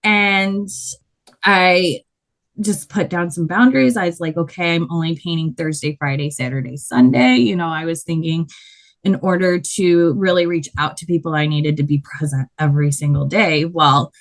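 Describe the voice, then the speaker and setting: A woman guest talks through her story with a loud, animated delivery and frequent laughter. Her voice sits a little low for a woman. She speaks in a relaxed, conversational interview manner. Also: moderate pace. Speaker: guest, body painter.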